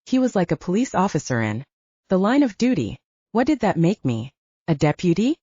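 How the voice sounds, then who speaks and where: A woman's voice: lowish voice, sing-song, normal speed, warm tone, slightly rough, dry recording. Dry, muffled woman narrating fiction expressively. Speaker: audiobook narrator. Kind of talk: scripted first-person fiction narration with acted dialogue.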